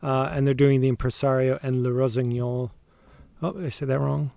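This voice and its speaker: A man's voice: mid-range voice, flat delivery, moderate pace, creaky, a crowd in the background. A man hosting a podcast, talking casually as he runs the show. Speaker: podcast host.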